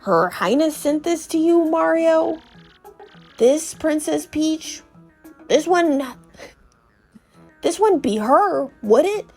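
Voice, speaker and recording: A woman putting on a fussy old creaky Goomba professor. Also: sits high, animated, takes it slowly, pauses often, a little mellow, faint music behind, exaggerated voice. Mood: musing, curious. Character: shriveled old male Goomba professor, advising and cautious. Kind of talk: video game character read aloud.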